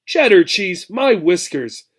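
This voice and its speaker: A man reading a children's story, voicing a gruff, huffy, protective elderly male mouse. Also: sits high, very animated, slow pace, full character voice. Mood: indignant, gruff.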